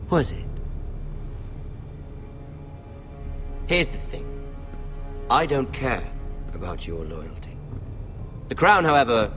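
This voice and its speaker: An actor playing a cruel, sadistically playful young lord in a fantasy game, taunting with deliberate mock politeness. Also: male voice, sits low, fairly bright tone, exaggerated voice. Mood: menacing taunts. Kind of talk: dramatic fantasy game acting. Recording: music under dialogue.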